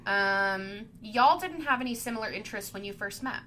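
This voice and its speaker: A woman's voice: mid-range voice, lively intonation, moderate pace, sharp tone, a little hiss or hum. A woman in her twenties, co-hosting in casual chat, talking loosely with lots of "like" and a stuffy, congested sound. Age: 20s. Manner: chatty, fills speech with "like". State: congested from sinus trouble. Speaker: podcast co-host.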